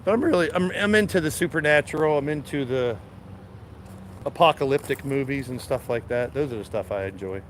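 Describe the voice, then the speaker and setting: A man's voice: medium pitch, fairly quick, a little hiss or hum. A man hosting a casual live stream outdoors in his backyard garden, stuffed up with a cold, rambling to his chat as he walks with his phone. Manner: rambling, laid-back, talks to chat while walking. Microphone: phone camera held while walking.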